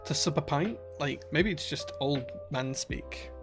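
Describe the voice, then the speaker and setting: A man's voice: on the high side, measured pace, music in the background. Man with a broad Yorkshire accent. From Yorkshire, England. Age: adult, married. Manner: friendly, chatty, many uhs and restarts. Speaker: streamer and keyboard builder. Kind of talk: casual live-stream chat, reading chat aloud. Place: his workshop.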